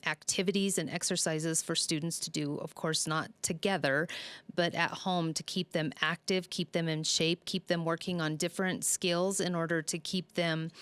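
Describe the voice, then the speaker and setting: A woman's voice: fairly low pitch, clean tone, no room sound. A woman speaking as a school superintendent, giving an earnest, reassuring public update to families in a semi-scripted way.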